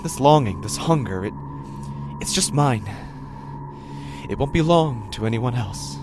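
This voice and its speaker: A man playing an anguished, rotting living corpse in a horror audio drama, speaking haltingly, repeating himself and dropping into whispers. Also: medium pitch, expressive, noisy background, natural voice. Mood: fearful, insistent. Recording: music behind the voice. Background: music.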